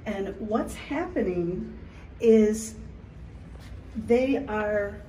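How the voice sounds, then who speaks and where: A woman's voice: medium pitch, dark tone, slight background noise, poor audio. A middle-aged woman chatting enthusiastically as a business coach, filming herself on a phone while walking through an indoor mall. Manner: chatty, enthusiastic, points things out as she walks. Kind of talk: walking selfie vlog. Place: large indoor shopping mall. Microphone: phone held at arm's length.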